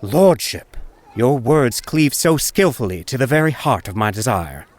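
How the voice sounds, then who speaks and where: An actor playing a suave, wily street fiddler with a resonant voice and courtly, flowery, mock-humble speech in an audiobook. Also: male voice, medium pitch, sing-song, a little slow, dry recording. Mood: smooth, courteous. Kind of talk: audiobook character acting.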